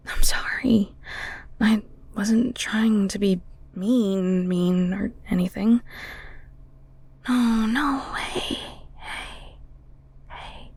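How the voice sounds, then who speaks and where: Woman playing a breathy, bashful ghoul girl, unhurried. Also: fairly high pitch, darkish tone, exaggerated voice. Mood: apologetic, soothing. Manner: flustered, stammering, giggly, rambling. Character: bashful, bungling, kindhearted young female Filipino ghoul cook. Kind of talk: intimate audio roleplay acting.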